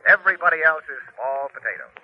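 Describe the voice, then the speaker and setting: A jovial, teasing old pal calling in a vintage radio play. His voice is fairly high and bouncy, full of slang, on a dull old recording. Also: expressive, fast talker. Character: chummy, teasing male old pal on telephone. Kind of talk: 1950s radio drama acting.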